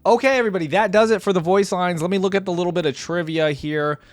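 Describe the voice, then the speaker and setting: A man's voice: high voice, quick pace, no echo. A man hosting a reaction video, giving casual, rambling commentary and addressing his viewers directly.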